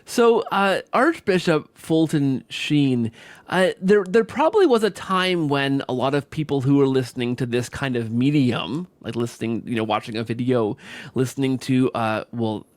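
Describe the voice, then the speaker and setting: A man's voice: mid-range voice, lively intonation, moderate pace, dry recording. A man hosting a conversational podcast interview, effusive and wordy, often rephrasing himself mid-thought. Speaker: podcast host.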